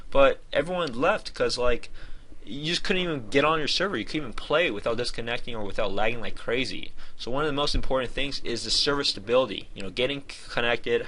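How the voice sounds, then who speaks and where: A man's voice: mid-range voice, bright, forceful tone, low-quality recording. A man giving an informal game review in a conversational, opinionated and emphatic way.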